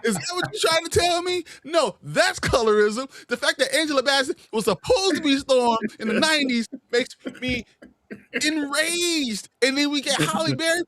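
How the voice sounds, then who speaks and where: A man co-hosting a casual chat show, ranting with animated, heated energy. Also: very high-pitched, moderate pace, no room sound.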